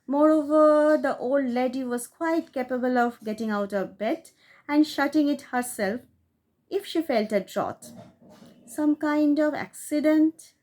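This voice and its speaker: Narrator: woman, slightly high voice, measured expressive storytelling. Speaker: audiobook reader.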